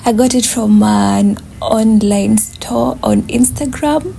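Young kenyan woman chatting thru her little flat, laid-back, phone mic, soft room noise. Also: on the high side, slow, vocal fry. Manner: chatty, relaxed, laughs often. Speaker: vlogger giving a home tour. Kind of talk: casual house tour narration. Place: small bedsitter flat, indoors.

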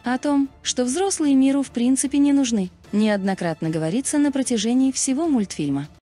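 Lively, high-pitched woman narrator. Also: a little fast, faint music behind. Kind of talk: scripted video narration.

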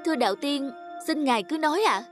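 Someone doing an earnest young village son, high pleading voice, cartoon folk tale over music. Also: animated, fast talker. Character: devoted, anguished young peasant son. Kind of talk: animated folk tale dubbing.

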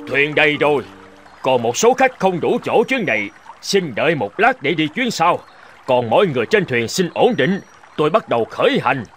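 A man's voice: a little high, big pitch swings, normal speed, a bit bright, faint music behind. An actor playing a harried, apologetic old boatman who addresses people courteously. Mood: announcing. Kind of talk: Vietnamese cartoon dubbing.

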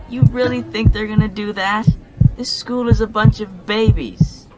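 A woman's voice: medium pitch, steady tone, a little slow, noisy background, close to an everyday voice. A woman playing a moody, dismissive teenage boy in an amateur radio play. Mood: scoffing.

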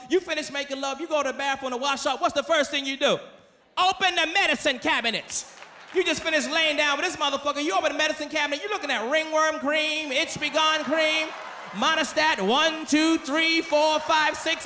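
An American man in his thirties doing stand-up comedy in a loud, animated, profane manner on a handheld microphone. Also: extremely high pitch. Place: large theater stage with live audience. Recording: echoey theater PA, audience noise.

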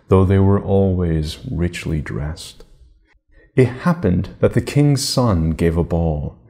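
A middle-aged man narrating a children's fairy tale in a measured, deliberate manner. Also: low voice, mellow. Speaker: storybook reader.

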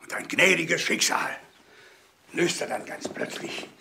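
Gravelly, agitated old count. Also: male voice, fairly high pitch, lively intonation, takes it slowly, noisy background, exaggerated voice. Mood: agitated, bitter. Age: older. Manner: agitated, formal. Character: frail, agitated old aristocratic count, guarded and anxious. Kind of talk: German crime drama acting.

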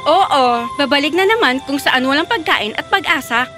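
A woman's voice: high-pitched, animated, moderate pace, over music. A woman playing a scheming, cold young stepmother in a fairy tale cartoon, coaxing and commanding. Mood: cold, resentful.

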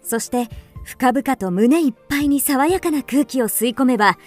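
An actress playing a wistful, introspective first-person storyteller in a literary radio drama. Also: female voice, sits high, animated, moderate pace, soft background music. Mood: wistful, relieved. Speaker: actor narrating.

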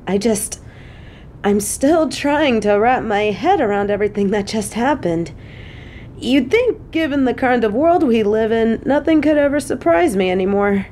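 A woman playing a casual, affectionate, teasing supervillain who gets flustered, speaking haltingly and expressively in an audio drama. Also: mid-range voice, dark tone, a little hiss or hum. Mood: weary, amazed. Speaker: voice actress in an audio roleplay. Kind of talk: intimate scripted audio drama addressed to a listener.

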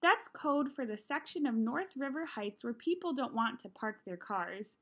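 A woman's voice: a little high, moderate pace. A woman in her thirties reading a children's novel aloud expressively, at home into a computer's microphone. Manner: expressive reading, animated in dialogue. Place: small room at home, indoors.

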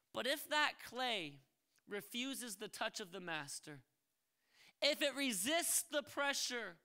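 Impassioned high-voiced pastor preaching on stage. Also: male voice, creaky, pushed and bright, clear, resonant tone. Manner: impassioned, acting out pleading inner voices. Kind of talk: sermon. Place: large dark church stage. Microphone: handheld mic.

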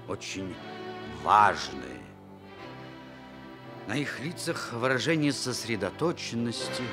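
Male narrator for radio theatre: unhurried, wry, widely varied intonation. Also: on the low side. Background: music.

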